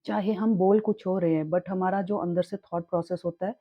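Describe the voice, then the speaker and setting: A young woman teaching in a calm, reassuring way, with a sore throat that makes her clear it often. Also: fairly low pitch, brisk, no room sound, highs cut off. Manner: calm, reassuring, mixes English words into her talk. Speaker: chakra healing teacher. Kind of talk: casual spoken tutorial.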